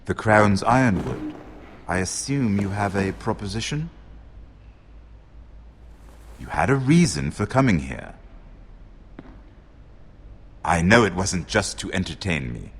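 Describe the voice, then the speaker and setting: An actor playing a shrewd, wry noble lord and royal treasurer in a fantasy game, speaking in a measured, worldly way. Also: male voice, sits low, sing-song, husky, full character voice. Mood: shrewd bargaining. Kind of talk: dramatic video game dialogue.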